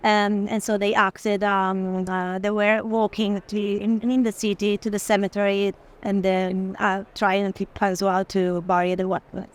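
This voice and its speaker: A woman with a medium-pitched voice explains art informally. She goes through it step by step, informative but somewhat hesitant in her phrasing. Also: little pitch movement, measured pace, hardly any pauses. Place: art fair.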